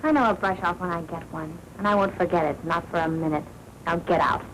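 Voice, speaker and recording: Woman acting a low-voiced, coy noir young woman. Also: fairly even intonation, a little fast, a bit bright, lightly acted. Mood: bitter, defiant. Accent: American. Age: young adult. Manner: coy, teasing, pointed. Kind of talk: 1940s film noir acting. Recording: noisy 1940s film soundtrack.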